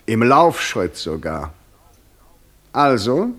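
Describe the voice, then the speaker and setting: A man's voice: mid-range voice, big pitch swings, extremely slow, a bit bright, exaggerated voice. A man acting the Devil in a German radio play, proud, sardonic and eloquent, with grand, ironic delivery. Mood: haughty, sardonic. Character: the Devil, proud, sardonic, worldly-wise old tempter.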